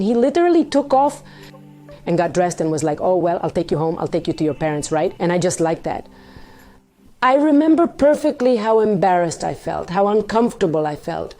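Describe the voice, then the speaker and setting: Hoarse woman vlogger, mellow voice. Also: on the high side. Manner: candid, dramatic retelling with quoted dialogue. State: hoarse from partying, voice partly lost. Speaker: vlogger hosting her own storytime channel. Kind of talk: casual confessional storytelling to camera.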